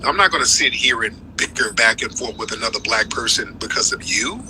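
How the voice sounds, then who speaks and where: A man's voice: on the low side, expressive, fairly quick, background noise. A man hosting a live call-in stream who argues hard with callers, cuts them off and repeats himself. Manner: forceful, interrupting, repeats "no, no". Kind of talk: heated live call-in debate.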